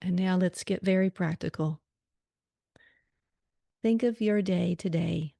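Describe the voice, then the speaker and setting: A woman leading a guided meditation prayer in a calm, soothing manner, leaving gentle pauses between short phrases. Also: on the low side, vocal fry, dry recording.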